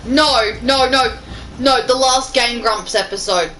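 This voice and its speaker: A woman's voice: fairly high pitch, slow pace, fairly bright tone, slight background noise, low-quality recording. A woman chatting casually and reading fan fiction aloud to friends in an amateur way. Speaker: fan fiction reader in a group show. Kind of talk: casual group chat and amateur story reading.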